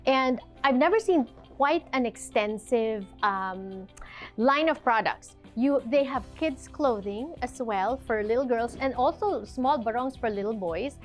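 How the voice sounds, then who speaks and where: A woman's voice: fairly high pitch, animated, measured pace, soft background music. A woman from the Philippines with a Filipino accent, hosting an interview in an upbeat way and switching between English and Tagalog. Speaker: host.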